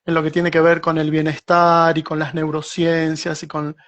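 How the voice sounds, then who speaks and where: A man's voice: on the high side, completely flat delivery, fairly bright tone. Middle-aged argentine guy, guest writer on a video call, thoughtful, lots of restarts. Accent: Argentine Spanish. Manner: reflective, with frequent restarts and slow stretches. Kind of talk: casual interview answer.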